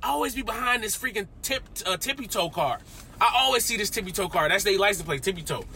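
A man's voice: high voice, lively intonation, fast talker. A young man, talking to the camera in a rambling, slangy, self-coaching way while driving his car. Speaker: vlogger. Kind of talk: casual self-talk vlog. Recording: loud car road noise.

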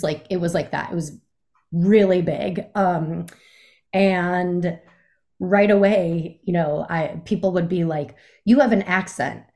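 A young woman from the Chicago area talks as a guest in a relaxed interview. Her voice sits a little low, and she rambles easily, packing her sentences with "like" and "um.". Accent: faint Midwest, mostly lost. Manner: chatty, many fillers and "like"s. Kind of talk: casual interview chat.

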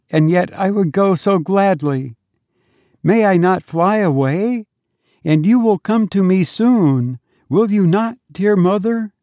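A sweet, loving little boy spirit from a storybook, speaking softly and tenderly, as a male narrator puts him on. The voice sits high and clear, with a pleading warmth, and the recording is dry and close. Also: no echo, muffled recording. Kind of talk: storybook reading.

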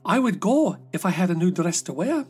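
A man's voice: high voice, big pitch swings, a little fast, darkish tone, husky, soft background music, full character voice. A man voicing a wistful, downtrodden young woman in a storybook fairy tale, speaking earnestly.

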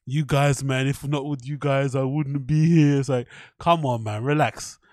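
A man's voice: medium pitch, fairly even intonation, normal speed, darkish tone, no echo, clean studio sound. A man with a British accent hosting a podcast, rambling casually in slangy, sweary, irreverent commentary as he reads things out and reacts. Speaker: podcast host.

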